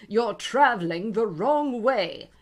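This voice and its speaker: A woman voicing a stern train guard who scolds loudly in a storybook reading. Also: fairly high pitch, lively intonation, takes it slowly, pitched above the performer's own voice, heavily acted. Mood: brusque.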